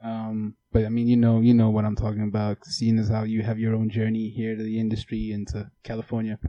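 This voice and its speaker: A young man with a South African accent, co-hosting a casual podcast chat, laid-back and quick to laugh. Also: on the low side, completely flat delivery, no room sound, dull audio. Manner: laid-back, laughs often, fills with "mm-hmm". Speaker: podcast co-host.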